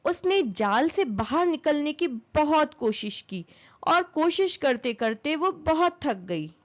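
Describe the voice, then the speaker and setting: A woman narrating a children's story as an expressive storyteller. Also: high voice, slow, highs cut off.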